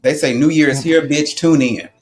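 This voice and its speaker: A man co-hosting a casual chat show, colloquial and animated as he gives opinions and tells stories. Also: fairly high pitch, moderate pace. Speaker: podcast co-host.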